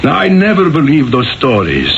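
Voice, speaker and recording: An actor playing a proud, jealous and flustered Italian merchant husband in a radio drama, with a halting, stammering delivery. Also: male voice, mid-range voice, huge pitch swings, fairly bright tone. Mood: haunted, fervent. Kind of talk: 1970s radio drama acting. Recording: dull lo-fi radio recording, faint noise.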